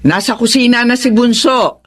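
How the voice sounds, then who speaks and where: A woman acting a gentle, devout, worried middle-aged mother in a soothing, sorrowful radio drama style. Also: a little high, moderate pace, natural voice.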